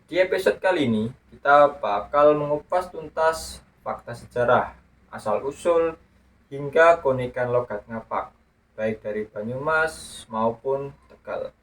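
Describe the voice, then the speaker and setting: A man's voice: medium pitch, expressive, unhurried, fairly bright tone. A man hosting a podcast, narrating a script in a chatty way that speaks straight to his listeners. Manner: chatty, addresses listeners directly. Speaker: podcast host. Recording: distant mic with music underneath. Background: guitar music.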